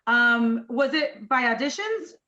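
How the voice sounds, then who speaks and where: A woman's voice: a little high, expressive, slow pace, bright, forceful tone, muffled recording. A woman from Los Angeles hosting a casual interview, chatty and enthusiastic, moving between English and Spanish. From Los Angeles, USA.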